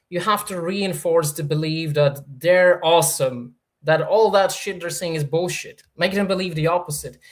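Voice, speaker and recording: A man from Poland with a Polish accent touched by Norwegian, hosting a casual livestream Q&A and reading chat comments, hesitant with many fillers and restarts. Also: fairly high pitch. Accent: Polish, with Norwegian influence. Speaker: livestream host.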